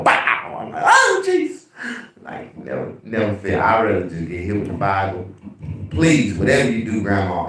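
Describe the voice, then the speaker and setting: A man with a deep bass voice hosting a casual livestream, telling animated, profane stories. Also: distant mic. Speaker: livestream host. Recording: very echoey room, away from mic.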